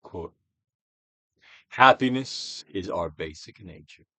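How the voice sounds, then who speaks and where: A man reading a self-help book aloud as a dramatic, guided-meditation narration, with deliberate actorly emphasis, swelling and dropping to a hush. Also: sits low, animated, husky, dry recording. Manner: theatrical, deliberate actorly delivery with emphatic swells and hushed drops.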